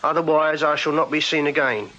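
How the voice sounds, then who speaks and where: Casting: straightforward, deferential young music-hall performer, Victorian radio drama, bright voice, slightly muffled sound. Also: male voice, on the high side, fairly even intonation, a little fast. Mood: sombre reading. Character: plain-spoken young Victorian music-hall strongman, earnest. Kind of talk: full-cast radio drama acting.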